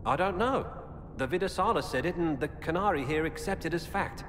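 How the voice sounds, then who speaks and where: An actor playing a grim former Templar turned Qunari informant in a video game, speaking in a grave, measured, earnest way. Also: male voice, fairly high pitch, expressive, a bit bright. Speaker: game character. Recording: game audio with long reverb and ambient noise.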